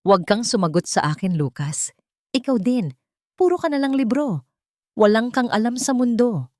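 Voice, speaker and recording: A woman's voice: a little high, big pitch swings, moderate pace, darkish tone, no echo, pitched above the performer's own voice. Cold scheming stepmother, played by a female narrator, falsely sweet and menacing, dry storybook drama sound. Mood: cold anger.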